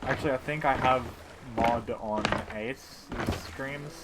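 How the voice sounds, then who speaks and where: Male game streamer, casual banter, medium pitch, muttering to himself, loud watery game noise behind. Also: takes it slowly. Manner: playful, teasing banter with chat. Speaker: live streamer. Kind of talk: casual gameplay stream chat.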